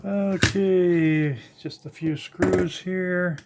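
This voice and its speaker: An older electronics hobbyist giving a casual, unscripted teardown narration, halting and musing aloud while working with their hands. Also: medium pitch, fairly even intonation, creaky, background noise. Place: indoors at a workbench.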